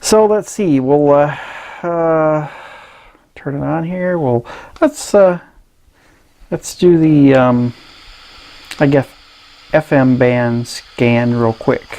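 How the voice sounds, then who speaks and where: A man presenting a casual, unscripted gadget review in a halting, rambling way. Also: fairly high pitch, dark tone. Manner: hesitant, halting, rambling delivery.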